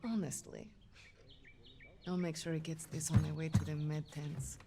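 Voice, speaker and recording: An actress playing a sardonic, stubborn young Russian medic and sister in a game cutscene, with a Russian accent. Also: female voice, low-pitched, flat delivery, moderate pace, over music. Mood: exasperated. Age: young adult. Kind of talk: video game cutscene acting.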